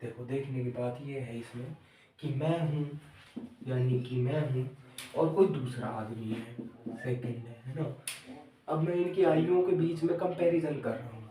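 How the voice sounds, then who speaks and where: A man's voice: medium pitch, a little slow, dark tone, a little hiss or hum. A male maths teacher explaining a lesson step by step, repeating each step and asking the children questions. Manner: addresses the pupils as children. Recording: echoey room, voice far from the mic.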